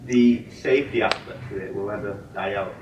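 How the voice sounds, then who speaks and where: A young man, a student interviewer, asking halting, hesitant questions. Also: lowish voice. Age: young adult. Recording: echoey, distant mic, crowd noise.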